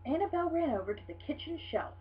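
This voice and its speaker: A woman reading a children's picture book aloud in an expressive, dramatic storytelling style. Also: high-pitched, fast talker. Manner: animated, dramatic storytelling delivery. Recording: dull lo-fi sound with hum.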